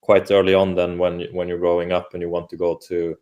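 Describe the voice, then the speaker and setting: Man from finland, native swedish speaker, very deep voice, monotone, hesitant interview guest. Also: muffled recording. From Åland, Finland. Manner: hesitant, many fillers and restarts, unhurried. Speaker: guest, football coach. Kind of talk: casual interview conversation.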